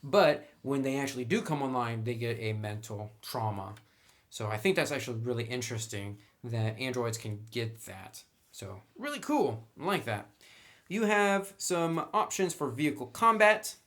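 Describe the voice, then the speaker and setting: A man giving a casual, conversational and opinionated review commentary, leaning on certain words for emphasis. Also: medium pitch. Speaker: tabletop game reviewer.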